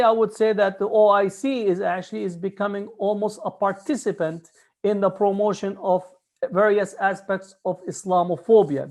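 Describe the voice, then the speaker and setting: A man's voice: sits high, darkish tone. A man speaking formally and emphatically as a guest speaker at a press conference, delivering prepared advocacy remarks.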